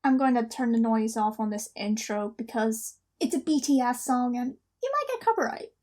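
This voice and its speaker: A woman hosting a reaction video, commenting casually and spontaneously with excitable fangirl exclamations. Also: on the high side, normal speed.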